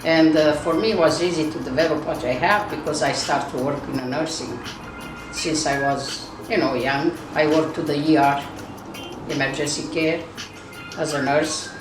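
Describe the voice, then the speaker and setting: A woman with a Romanian accent speaking English as a second language, with halting, restarting phrasing in a casual interview. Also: low voice, steady tone, roomy sound, distant mic, over music, poor audio. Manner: non-native grammar, frequent restarts. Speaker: nursing school owner.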